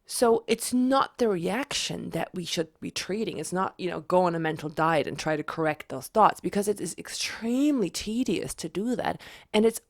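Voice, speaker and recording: Raspy woman with a slight cold. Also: on the low side, expressive, moderate pace, no echo, clean studio sound. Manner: chatty, repeats herself with restarts. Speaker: manifestation vlogger. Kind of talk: casual explanatory vlog.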